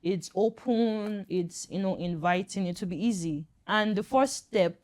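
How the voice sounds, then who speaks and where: A woman doctor on a panel, calmly explaining childhood anxiety to parents in an earnest, reassuring way, with frequent fillers. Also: mid-range voice, unhurried. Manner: earnest and reassuring, with many "you know" fillers. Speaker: pediatric doctor and guest.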